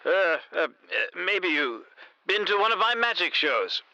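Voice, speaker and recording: A man's voice: sits high, very animated, normal speed, bright, forceful tone, dry recording, muffled recording, exaggerated voice. A man playing a shifty, showy traveling magician in a comedy audio drama, stammering and grandiose. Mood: evasive, grandiose. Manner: hesitant, stammering, then grandiose.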